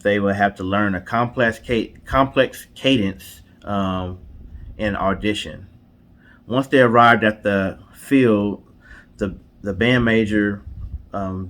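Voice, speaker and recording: A man co-hosting and retelling a story in a casual storytelling style. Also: on the low side. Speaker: co-host.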